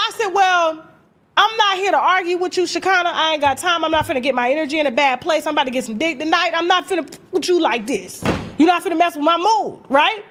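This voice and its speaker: High-pitched, brash American woman telling gossip. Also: a bit bright. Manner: brash, sassy, profane, acting out conversations. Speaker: gossip vlogger and host. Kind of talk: animated, casual gossip storytelling.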